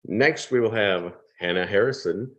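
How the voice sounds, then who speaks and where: A man's voice: lowish voice, moderate pace, dull audio. A man hosting an online award announcement, speaking off the cuff in a folksy, appreciative way. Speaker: award program host. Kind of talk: unscripted announcing at an online event.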